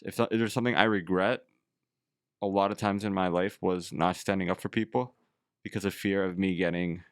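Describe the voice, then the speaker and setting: Low gravelly man, reflective. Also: fairly even intonation, a little fast, no echo, studio quality. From Canada. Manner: reflective, rambling, heavy use of "like". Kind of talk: casual conversation.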